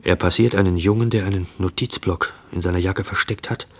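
Fearful shadower for radio drama: deep, rough-edged, tense and searching inner monologue. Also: male voice, fairly even intonation. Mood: dread, suspense. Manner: tense, hushed, questioning, deliberate. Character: fearful man shadowing a mysterious stranger.

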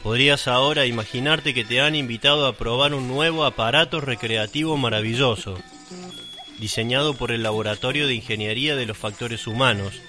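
A man from Argentina reading a scripted radio narration in a measured, solemn way, addressing the listener directly. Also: medium pitch, pushed and bright, faint music behind. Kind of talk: scripted dramatic radio narration over music.

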